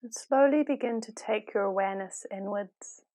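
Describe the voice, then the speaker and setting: Woman doing guided meditation, mid pitch, calm n gentle, takes her time, lots of pauses. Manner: calm, unhurried, softly instructive with long pauses. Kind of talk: guided body-scan meditation, slow gentle instruction.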